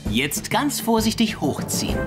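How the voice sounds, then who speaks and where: A man voicing a calm, heroic, friendly firefighter in a children's cartoon dub, reassuring and in command. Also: high voice, a little slow, loud music behind the voice, full character voice. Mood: calm, guiding.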